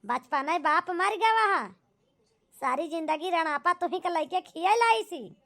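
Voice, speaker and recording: A woman's voice: extremely high pitch, lively intonation, a little slow, fairly bright tone, full character voice. A woman voicing a reproachful older village aunt in an amateur cartoon drama, lecturing with an Awadhi accent. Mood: reproachful scolding.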